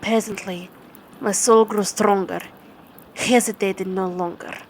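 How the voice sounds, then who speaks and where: A woman playing a tender, dominant vampire girlfriend in an ASMR bedtime roleplay, speaking in a halting, soothing way. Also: medium pitch, steady tone, lightly acted. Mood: hushed, brooding. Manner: slow, halting, soothing delivery with repeated words. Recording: clearly noisy ambient background.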